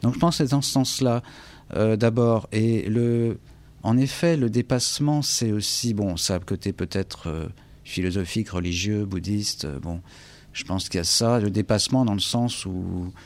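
A man's voice: fairly low pitch, normal speed. A man from France with a French accent, speaking reflectively as an interview guest, often searching for words and repeating himself. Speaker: interview guest, English teacher and author.

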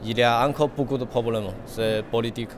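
A man's voice: medium pitch, steady tone. Young Chinese-accented male artist, hesitant non-native speech, light outdoor traffic noise. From China. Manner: halting non-native French, frequent pauses and fillers. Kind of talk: interview answers.

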